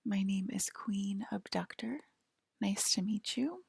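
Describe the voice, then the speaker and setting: A woman softly whispering an ASMR roleplay to the listener as a polite, attentive alien abductor, pausing often. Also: mid-range voice, creaky, slightly rough, no room sound, studio quality. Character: polite, attentive female alien abductor queen acting as medical examiner. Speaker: ASMR performer.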